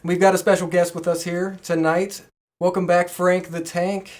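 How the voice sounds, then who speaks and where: A woman's voice: fairly low pitch, little pitch movement, moderate pace, darkish tone. A woman hosting a podcast, casually chatting and asking questions of a co-host. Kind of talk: casual chat, interviewing a co-host.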